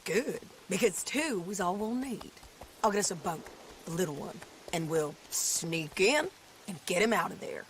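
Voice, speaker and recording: A woman's voice: fairly low pitch, animated, normal speed, slight background noise, natural voice. An actress playing a blunt, determined frontier gunwoman, in a video game cutscene. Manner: blunt, commanding.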